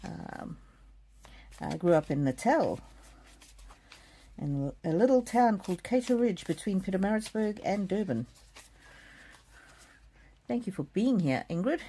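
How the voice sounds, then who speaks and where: A middle-aged woman from South Africa giving a gentle live oracle card reading, speaking softly and addressing viewers by name. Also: medium pitch, animated, unhurried, long pauses, breathy edge. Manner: gentle, soothing, talks straight to viewers by name. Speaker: card reader.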